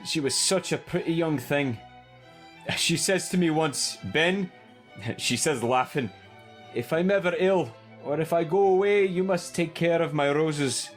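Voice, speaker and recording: Man acting a gruff old gardener, music behind. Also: on the high side, measured pace, natural voice. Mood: wistful. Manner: plain-spoken rustic, blunt. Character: gruff old male gardener, loyal and sentimental. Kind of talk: audio drama acting.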